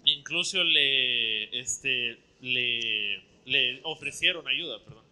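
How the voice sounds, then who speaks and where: A man interpreting a sermon phrase by phrase into Spanish, following each line closely and sometimes pausing with fillers. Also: mid-range voice, pushed and bright, smooth.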